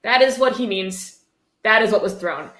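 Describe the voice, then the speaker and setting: A woman's voice: medium pitch, animated, normal speed, a bit bright. A woman hosting a casual livestream reading, adding wry, sarcastic and blunt commentary as she goes. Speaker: host reading a book aloud with commentary. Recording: roomy, echoey sound.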